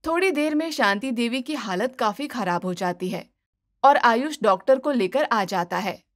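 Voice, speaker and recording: A woman's voice: on the high side, animated, normal speed. A woman storyteller giving scripted narration of a moral story.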